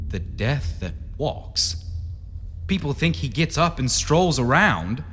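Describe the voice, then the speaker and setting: A man's voice: medium pitch, very animated, slow, a bit bright, husky, heavily acted. A man playing an easygoing, sceptical husband in a radio horror play, breezy and dismissive of spooky talk. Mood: puzzled, defensive. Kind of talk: radio horror drama acting. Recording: reverberant, noisy radio drama recording.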